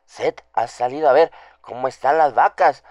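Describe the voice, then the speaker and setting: A man's voice: medium pitch, moderate pace, darkish tone, no echo, dull audio, close to an everyday voice. A man playing a frightened, superstitious farm housekeeper woman with a trembling voice, telling alarming news at length in a radio drama. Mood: terrified, urgent.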